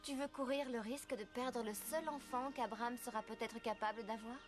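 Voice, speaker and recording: Cartoon servant girl , female actor: young, high voice, proud streak, Bible drama with loud score. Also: fairly even intonation, brisk, over music, natural voice. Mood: defiant. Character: Agar, defiant young pregnant servant woman. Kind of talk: dubbed animated Bible drama.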